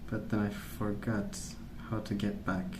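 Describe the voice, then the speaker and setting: A man speaking softly in a hushed, unhurried ASMR ramble, musing as he goes. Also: on the low side, flat delivery, roomy sound, background noise. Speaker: ASMR video host. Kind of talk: soft-spoken ASMR rambling while colouring.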